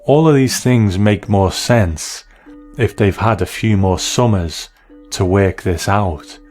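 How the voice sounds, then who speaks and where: A man hosting a show gives measured, thoughtful commentary in a conversational, analytical way. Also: lowish voice, darkish tone. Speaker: podcast host reviewing a TV episode.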